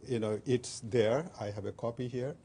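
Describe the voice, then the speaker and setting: Older Bengali-speaking man, halting and mellow. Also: medium pitch, a little hiss or hum. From Bangladesh. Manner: hesitant, many "uh" fillers and restarts. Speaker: interview guest. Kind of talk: community TV interview.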